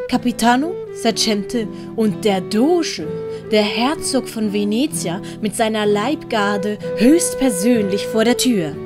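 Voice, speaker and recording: A woman reading scripted audiobook narration as the storyteller. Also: medium pitch. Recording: prominent music behind the voice.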